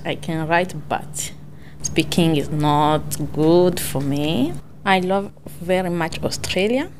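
A woman speaks slowly and haltingly, often stopping to search for her words. Her voice sits fairly low, with a faint hiss of background noise. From Congo. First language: French. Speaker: adult English learner. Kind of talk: personal introduction.

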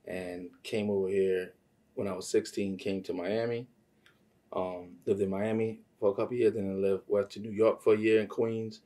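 A man's voice: lowish voice, little pitch movement, normal speed, warm tone, distant mic. A man from Trinidad chatting casually as an interview guest, rambling, slangy and given to swearing, a little away from the mic. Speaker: podcast guest, music producer and songwriter.

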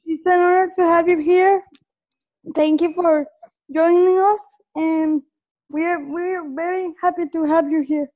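A woman's voice: very high voice, fairly even intonation, unhurried, a little mellow, dull audio. A woman from Mexico with a Mexican Spanish accent, co-hosting in English in a polite, slightly hesitant way. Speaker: co-host.

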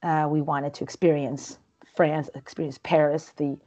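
A woman's voice: low-pitched, little pitch movement, normal speed, mellow. A woman from Peru in her thirties, talking casually as an interview guest, chatty and rambling with many fillers and restarts.

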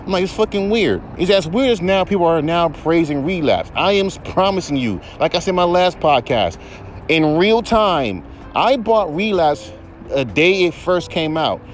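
A man with a baritone voice hosting a podcast, ranting in a heated, profane, rambling way. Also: lively intonation, normal speed, slight background noise. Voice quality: deep baritone voice. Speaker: podcast host. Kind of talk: casual podcast rant.

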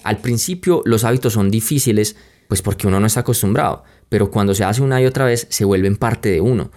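A man's voice: lowish voice, fast, a little mellow. A young man from Colombia with a Colombian accent, hosting a podcast and telling a personal story in a reflective, conversational way. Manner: reflective, conversational, speaks straight to listeners. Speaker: podcast host. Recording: music playing under the voice.

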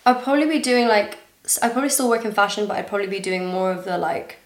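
A woman's voice: medium pitch, fast. A young woman from Dubai with an international school accent that is partly American, chatting casually as a podcast guest with many fillers. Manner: chatty, giggly, trailing fillers. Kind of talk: casual chat. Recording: echoey room.

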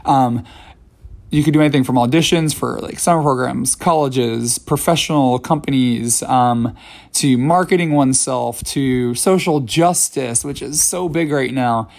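A man in his thirties, slightly hoarse from a voice that is still recovering, talking casually on his own podcast with plenty of fillers and restarts. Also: medium pitch, measured pace, darkish tone. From New York City. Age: 30s. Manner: rambling, many fillers and restarts. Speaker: podcast host. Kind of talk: casual solo podcast talk.

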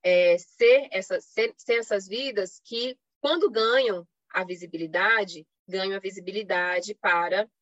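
A woman's voice: mid-range voice, unhurried, hardly any pauses, fairly bright tone, no room sound. Brazilian woman lecturing, slightly dull audio. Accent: Brazilian Portuguese. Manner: explanatory, punctuated with rhetorical questions and frequent tag checks. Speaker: academic panelist giving a presentation. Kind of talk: academic online lecture with slides, semi-improvised. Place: online live stream.

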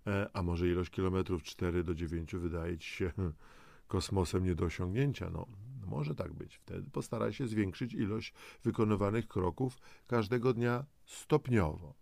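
Man, lowish pitch, crackly gravel, dry close sound, instructive, coaxing, motivational audio host. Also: moderate pace, a little mellow, dry recording. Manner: coaxing, instructive, with rhetorical questions. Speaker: host of a health and lifestyle audio programme. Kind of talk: semi-scripted motivational narration addressed to the listener.

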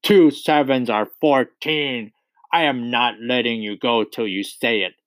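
A man's voice: mid-range voice, steady tone, moderate pace, bright, forceful tone, no echo, close to an everyday voice. A man voicing a huge, bullying headmistress from a children's storybook, barking with contempt for small children. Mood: furious, bellowing.

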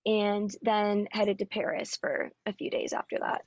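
A woman singer-songwriter with a raspy voice, chatting casually and upbeat in an interview with lots of ums and likes. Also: mid-range voice, unhurried, dry recording. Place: Nashville area. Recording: dull, dry lo-fi audio.

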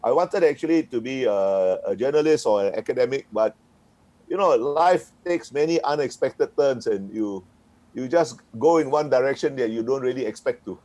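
A man's voice: mid-range voice, animated, moderate pace, warm tone, poor audio. A man, a retired diplomat answering interview questions in a frank, wry way, often chuckling. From Singapore. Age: 60s.

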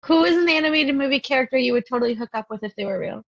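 A woman's voice: high voice, rapid-fire, no echo, dull audio. A woman co-hosting a podcast in casual, blunt chat with some swearing. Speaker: podcast co-host.

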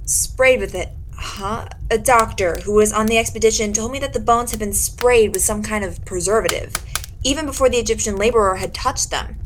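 A woman playing a sharp, accusing detective in a 1940s-style radio drama, commanding and sardonic as she interrogates. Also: medium pitch, fairly quick, slight background noise, lightly acted, poor audio. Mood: intrigued. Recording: dramatic music behind the voice.

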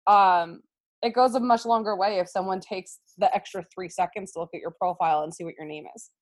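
A woman's voice: medium pitch, steady tone, a little fast. A young American woman, a sixth grade teacher, speaking as an interview guest in an earnest, explanatory way. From United States. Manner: earnest, explanatory, frequent "um" and "right?". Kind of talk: conversational interview.

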